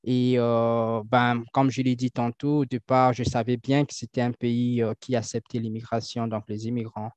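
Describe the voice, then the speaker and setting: A man's voice: medium pitch, little pitch movement, normal speed, few pauses, darkish tone, no echo. Hesitant French-speaking man, dry dull sound. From French-speaking country. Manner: hesitant, many fillers and restarts. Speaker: guest. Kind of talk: interview answers. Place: Toronto.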